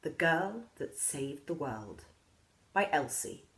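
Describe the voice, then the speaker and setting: Female storybook reader, unhurried, lively swings in pitch. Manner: slow, animated storytelling. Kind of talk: reading a children's story aloud.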